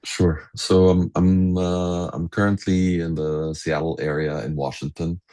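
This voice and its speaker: A middle-aged man with an exceptionally deep voice. He talks casually as a podcast guest, halting often as he searches for words. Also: little pitch movement, warm tone. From Egypt. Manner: hesitant, halting delivery with many pauses. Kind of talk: casual reminiscing conversation.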